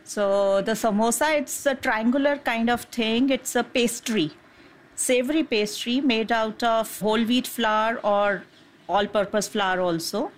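A woman's voice: on the high side, unhurried, a little hiss or hum. A woman doctor and mother answering interview questions in an earnest, plain-spoken way with some hesitations.

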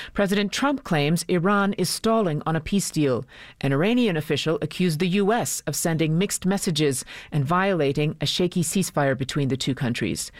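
Dry-sounding news anchor, medium pitch. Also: dry recording. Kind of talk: scripted news reading and interviewing.

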